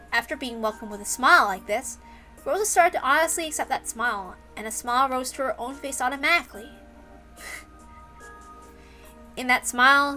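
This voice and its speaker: Female story narrator: bright, higher-pitched, expressive read-aloud. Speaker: reader and narrator.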